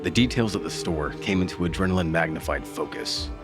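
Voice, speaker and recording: An actor playing a weary, desperate occult detective in a dark noir audio drama, with a wry, dramatic delivery. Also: male voice, low voice, quick pace. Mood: tense, grim narration. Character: weary, desperate male occult detective narrating his fight. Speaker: lead actor and narrator. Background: music.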